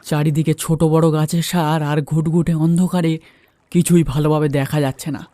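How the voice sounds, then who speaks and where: Higher-pitched man narrating a horror story. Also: flat delivery, normal speed, mellow, dry recording. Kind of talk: dramatic first-person horror story narration.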